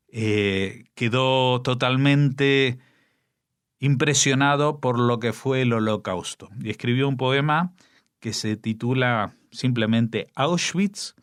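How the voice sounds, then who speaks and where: A man with an Argentine accent, a radio news commentator who reads a poem aloud in slow, solemn recitation, letting lines trail off quietly. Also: medium pitch, clear, resonant tone, dry recording, studio quality. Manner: slow, solemn recitation with dramatic pauses and hushed endings. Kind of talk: radio commentary and poetry reading.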